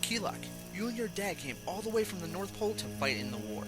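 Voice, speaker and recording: A man's voice: high voice, very animated, quick pace, loud music behind the voice. A man playing an idealistic young soldier in a fan audio drama, sincere and eager in his delivery. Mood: earnest.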